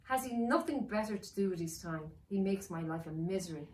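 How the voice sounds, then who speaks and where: A woman playing a bullying dark villain in a storybook, contemptuous and commanding toward a servant. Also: medium pitch, animated, a little fast, close to an everyday voice. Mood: irritated. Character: scornful, bullying dark villain, master of an elf. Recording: roomy, distant mic with faint noise.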